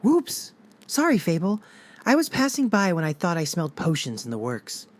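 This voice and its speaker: A mischievous young satyr with a sweet tooth, wheedling his way toward treats, as a woman puts him on. The voice sits deep for her and swings widely in pitch, playful and cheeky throughout. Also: wildly swooping pitch, moderate pace.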